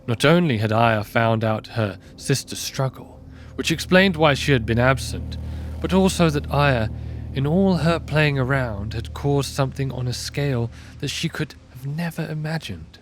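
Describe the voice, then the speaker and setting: A man narrating a scripted fantasy audio drama in a measured storytelling manner. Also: medium pitch, animated, slight background noise.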